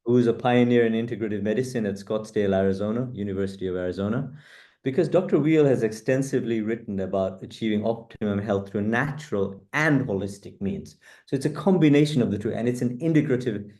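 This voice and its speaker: Low, mellow-voiced man. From UK, born in East Africa. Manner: measured, earnest, with frequent fillers. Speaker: guest, coach. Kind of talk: reflective interview answers.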